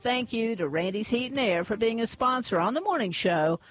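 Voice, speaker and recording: A woman's voice: fairly high pitch, animated. Chatty radio host woman. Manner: chatty, laughs easily, reads ads and community notices briskly. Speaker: morning radio show host. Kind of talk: casual on-air chat and live sponsor reads. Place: radio studio. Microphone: studio microphone.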